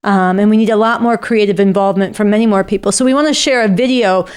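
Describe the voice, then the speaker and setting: A woman's voice: mid-range voice, fast, clear, resonant tone. A woman co-hosting a show, making an explanatory appeal directly to viewers. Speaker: show co-host.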